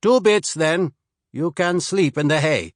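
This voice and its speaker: A big, stern Swedish stable keeper who never smiles, clipped and blunt, with a slight accent in his speech, as a man acts him out. His voice sits higher and louder than the narration, in a dry, close recording. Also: measured pace, no echo, natural voice.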